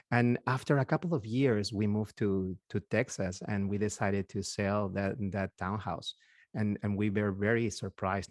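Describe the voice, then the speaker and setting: A man from Peru with a Peruvian Spanish accent, in his forties, chatting as an interview guest, halting and repeating words, laughing often. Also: lowish voice, no echo, clean studio sound. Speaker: guest, physician and investor. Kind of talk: casual interview chat.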